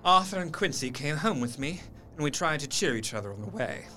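Earnest male diarist, played by an actor, gothic audio drama narration: formal, intense, fairly high voice, light music underneath. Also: animated, a little fast. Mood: grim, sombre. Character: earnest man recounting horrors from his journal.

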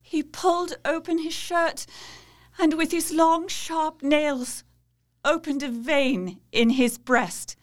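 An actress playing an anguished, devout Victorian wife, recounting her ordeal in a deliberate, theatrical dramatic monologue. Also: high voice. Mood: horrified.

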